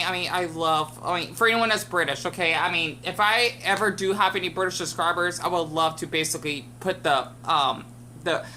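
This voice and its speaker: Young american woman rambling on her channel, um-heavy, bright voice, faint hiss behind. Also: on the low side. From United States. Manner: rambling, with many fillers and restarts. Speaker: YouTube commentator. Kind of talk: casual reaction commentary.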